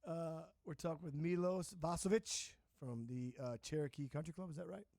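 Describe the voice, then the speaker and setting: A man's voice: a little high, normal speed, darkish tone, no echo, studio quality. A man hosting a casual interview show, informal and often chiming in with short interjections.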